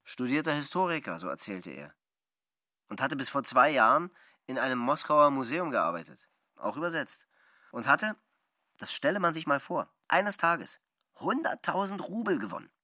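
A man narrating a literary radio drama in an animated storytelling style. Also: mid-range voice, normal speed, no echo, clean studio sound.

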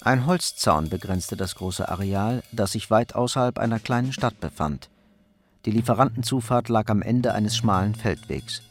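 A man's voice: lowish voice, normal speed, faint music behind. A man narrating a crime radio play in a scripted, storytelling delivery.

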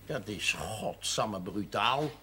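A man playing a gruff, drunken socialist father, coarse, scornful and blustering, in a television drama. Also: lowish voice, expressive, slow pace. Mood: combative. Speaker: actor in a television drama series. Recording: noisy old television soundtrack.

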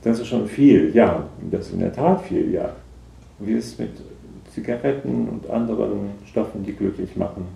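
A man's voice: lowish voice, moderate pace, creaky, dark tone, reverberant, low-quality recording. An actor playing a psychologist in a naturalistic radio drama, hesitantly probing and repeating back what he is told. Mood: detached, probing. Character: evasive male psychologist, soft, probing, echoing every answer. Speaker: psychologist conducting an assessment.

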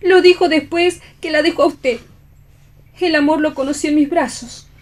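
Una actriz interpreta a una mujer caída, apasionada y desdeñosa, que defiende su amor con tono teatral en un radioteatro. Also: female voice, sits high, fairly even intonation, moderate pace, close to an everyday voice. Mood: earnest pride. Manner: theatrical, indignant. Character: scornful, passionate fallen woman defending her love. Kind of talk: theatrical radio play reading.

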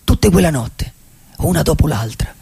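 A man playing a wistful, poetic vagabond dreamer in a radio theatre monologue, reflective and husky, with deliberate pauses. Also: on the high side, expressive, warm tone, heavily acted. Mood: dreamy, wondering. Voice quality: husky, gravelly. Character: wistful, poetic male vagabond dreamer who loves cinema.

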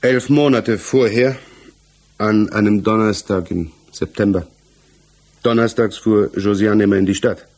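An actor in a 1960s German radio play, playing an accused married village mechanic who answers earnestly, evasively and haltingly. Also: male voice, on the low side, steady tone, a little mellow. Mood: hesitant, explaining. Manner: hesitant, halting, defensive.

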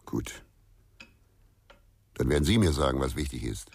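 An actor playing a brooding, insistent investigator in a radio crime drama, with terse, probing speech and a deep, husky voice. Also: male voice, animated, unhurried, darkish tone, exaggerated voice. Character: brooding, insistent male amateur investigator, sleepless.

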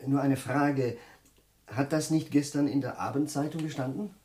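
A man's voice: mid-range voice, fairly even intonation, normal speed, mellow, close to an everyday voice. Guy doing a polite nitpicky intellectual in a radio play, mid voice, hesitant and dry. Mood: politely needling. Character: polite, pedantic male intellectual, mildly needling. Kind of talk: 1980s German radio play acting.

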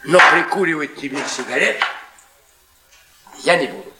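Actor as a sardonic, higher-voiced middle-aged husband. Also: male voice, expressive, normal speed, lightly acted. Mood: bitter, weary. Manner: ironic, mock-tender, wordy. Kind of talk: theatrical radio play acting. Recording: echoey theatre recording with audience noise.

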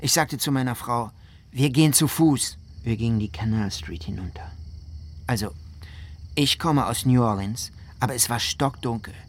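A man's voice: mid-range voice, normal speed, lightly acted. An actor playing a weary, indignant husband from New Orleans who survived a flood, telling his story as radio drama testimony. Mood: weary, recounting. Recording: faint noisy ambience behind the voice.

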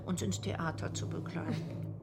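A woman's voice: measured pace, heavy background noise, close to an everyday voice. An actress playing a confident, witty young woman in a radio drama, teasing and self-assured.

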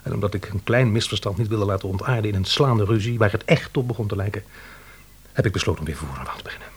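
An actor playing a bumbling, earnest male scholar in a Dutch radio drama, husky, hesitant, wordy and apologetic. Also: fairly low pitch, fast talker. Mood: awkward, apologetic.

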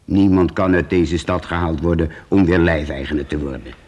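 An actor playing a shrewd, teasing old priest who teaches children in a radio play, probing and mock-stern. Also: male voice, rumbling low, fairly even intonation, normal speed, darkish tone, slightly rough.

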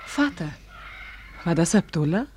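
Lively, fearless young daughter. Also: female voice, fairly low pitch, a little slow, breathy edge, shouting, full character voice. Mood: curious, defiant. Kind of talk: literary radio drama acting.

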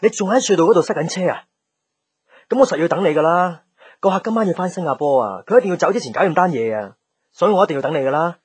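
An actor playing a busy, lovelorn young office man in a Cantonese radio drama, with a Hong Kong accent and a husky, breathy voice. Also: on the high side, expressive, fast talker, dry recording. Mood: pressed, busy.

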